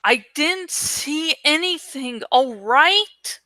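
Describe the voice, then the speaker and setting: Woman voicing a high, sullen teenage boy. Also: lively intonation, very slow, pushed and bright, no echo, clean studio sound, exaggerated voice. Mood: angry. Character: angry, defensive teenage boy, sullen and snappish.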